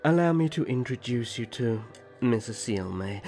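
A man playing a gentle, courtly young elf prince in a roleplay audio drama, speaking formally and graciously. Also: mid-range voice, animated, faint music behind, natural voice. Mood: formal, polite. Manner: formal, gracious, measured storytelling.

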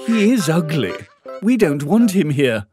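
A man voicing a rude, scornful grown duck in an animated fairy tale. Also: on the high side, a little slow, faint music behind.

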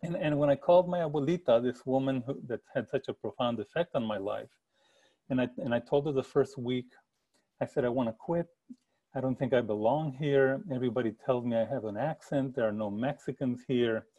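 Middle-aged man telling stories, mellow voice. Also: medium pitch, brisk, muffled recording. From El Paso area, Texas. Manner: earnest, gestures a lot, frequent restarts. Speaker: author giving a book talk. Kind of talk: personal storytelling. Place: attic room at home. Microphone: video call with earbuds.

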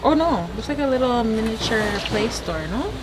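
A woman talks her viewers through a shopping trip in a big home goods store, exclaiming over items as she goes. Her voice sits a little high, and the handheld camera picks up loud store noise behind her. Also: expressive, moderate pace. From Canada. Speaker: vlogger. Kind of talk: casual shopping vlog.

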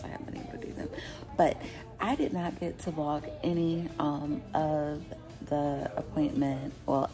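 A young woman talking quietly to her phone camera from a couch at home, low-key and rambling with fillers. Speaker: vlogger, stay-at-home mom. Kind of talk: quiet casual chat to camera. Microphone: phone at arm's length.

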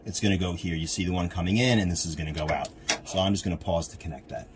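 Low-voiced man, halting hands-on demonstration. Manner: halting, thinking aloud while handling gear. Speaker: radio hobbyist demonstrating equipment. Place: inside a military-style off-road vehicle. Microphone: handheld camera, one hand free. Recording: noisy handheld camera audio.

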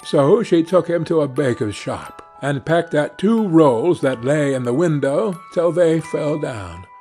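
Slow, expressive male storyteller. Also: on the high side, soft background music. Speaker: audiobook narrator. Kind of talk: scripted fairy-tale narration.

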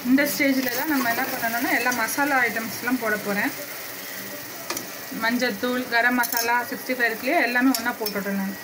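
A woman hosting a home cooking video, calmly walking viewers through a recipe step by step in her kitchen. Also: a little high. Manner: unhurried step-by-step instructions, addressing viewers directly. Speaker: cooking channel host. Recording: noisy home recording.

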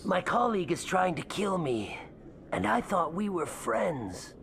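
A man's voice: high-pitched, sing-song, a little slow, vocal fry, fairly bright tone, a little hiss or hum, heavily acted. A man playing a nervous, paranoid alien in a video game, hesitant, anxious and insistent as he pleads for help. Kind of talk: video game character dialogue.

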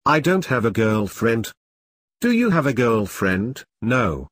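Man, drill reader for a language lesson: slow, husky, very lively pitch, dry close mic. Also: no room sound. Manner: reads each question and answer twice, measured and deliberate. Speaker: English teacher reading practice dialogues. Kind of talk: slow scripted drill reading.

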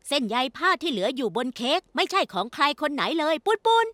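A woman's voice: high voice, sing-song, fast talker, noisy background. A woman voicing a cheerful robot police car in a dubbed children's cartoon, tagging a beeping catchphrase onto lines. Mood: analytical. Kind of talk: Thai cartoon dubbing. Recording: loud children's music behind.

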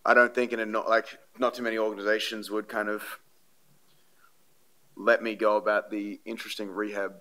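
A man's voice: on the low side, little pitch movement, fairly quick, pushed and bright. A man, answering reporters' questions at a podium in a press room, rambling and earnest with many fillers and restarts. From Australia. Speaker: baseball pitcher at a postgame press conference. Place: press room before a sponsor backdrop. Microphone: podium microphones.

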